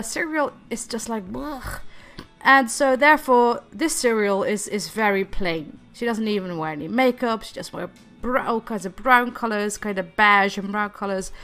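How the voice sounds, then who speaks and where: A woman's voice: a little high, fairly bright tone. A woman chatting casually about her game, slightly nasal and recovering from laryngitis, laughing often and stumbling over repeated words. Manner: hesitant, repeats words and stalls. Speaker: gaming video creator. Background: faint background music.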